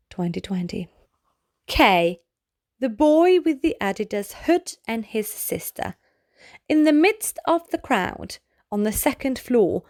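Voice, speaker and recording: A woman reading a children's storybook aloud in a measured way, pausing phrase by phrase. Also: medium pitch, darkish tone, dry recording, clean studio sound. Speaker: read-aloud narrator of a children's book. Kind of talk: slow read-aloud of short storybook pieces.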